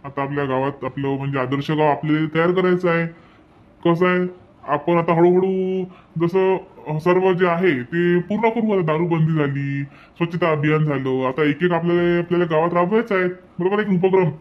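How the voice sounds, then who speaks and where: High-pitched rural village headman played by a man, dull recording. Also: fairly even intonation, moderate pace, lightly acted. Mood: earnest. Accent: rural Vidarbha Marathi. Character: earnest, community-minded male village headman. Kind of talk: hobby cartoon voice-over, village dialogue.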